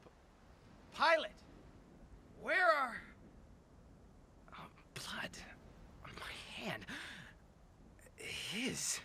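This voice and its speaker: A performer in a radio drama playing a desperate, injured crash survivor in the desert, halting and repeating words between gasps and whispers. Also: medium pitch, wildly swooping pitch, sharp tone, full character voice. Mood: frantic, manic. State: in pain, parched, out of breath. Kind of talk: melodramatic radio drama acting.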